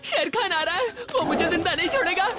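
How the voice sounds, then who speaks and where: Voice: extremely high pitch, expressive, a little fast, over loud music, over a noisy crowd. An actor voicing a sly, cowardly cartoon jackal with a whiny, wheedling, theatrical delivery. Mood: panicked pleading. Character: sly, whining jackal, cowardly schemer feigning helplessness. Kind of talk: animated cartoon dubbing.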